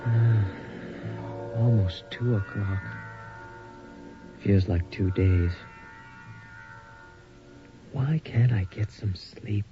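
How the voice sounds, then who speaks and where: A man's voice: fairly low pitch, extremely slow, pauses often, over loud music. A young actor playing an uneasy male college student who stammers and grows frantic in a radio drama. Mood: frightened. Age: young adult. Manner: hesitant, stammering, growing frantic. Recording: dull old radio broadcast.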